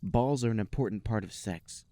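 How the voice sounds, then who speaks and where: Low-voiced man narrating comic fan fiction. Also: normal speed, warm tone, dry recording. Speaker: podcast host.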